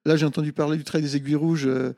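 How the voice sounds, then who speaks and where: Hesitant mellow man in a reverberant space. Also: a little high, level tone, vocal fry. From Italy. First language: Italian. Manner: hesitant, halting phrasing. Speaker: interview guest, ultra-trail runner. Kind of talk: casual interview answers.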